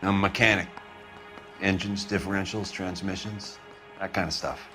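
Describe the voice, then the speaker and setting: An American actor playing a meek, beaten-down mechanic and bitter husband in a video game crime drama, halting and defensive. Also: male voice, low-pitched, little pitch movement, over music, lightly acted. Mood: subdued, wary.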